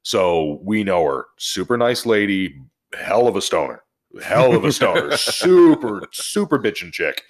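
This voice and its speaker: A man's voice: fairly low pitch, sing-song, unhurried. An American man chatting casually and telling anecdotes as a podcast co-host, with slangy, salty language. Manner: profane, slangy.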